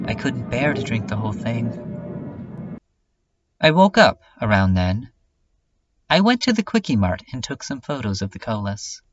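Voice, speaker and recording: A man playing a lonely, despairing last survivor, narrating a bleak diary in a halting, confessional way. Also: medium pitch, highs cut off. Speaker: narrator of a horror comedy fan dub. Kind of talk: slow first-person scripted narration.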